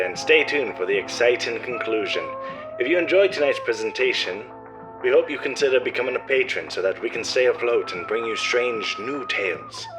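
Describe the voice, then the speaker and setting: A man's voice: mid-range voice, poor audio. A man announcing in a theatrical, florid old-time radio serial style. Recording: music behind the voice.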